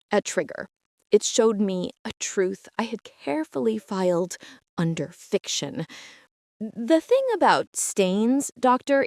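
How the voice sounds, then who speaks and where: A woman playing a traumatized, meticulous archivist, narrating a psychological horror drama in a brooding, deliberate way. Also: mid-range voice, a little mellow, no room sound, clean studio sound. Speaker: narrator and lead character of an audio drama.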